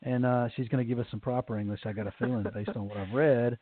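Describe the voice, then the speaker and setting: A man's voice: mid-range voice, steady tone, fast, no room sound. A man from Alabama with a Southern accent, hosting a radio show in a folksy, joking way. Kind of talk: casual radio chat. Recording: tinny phone line.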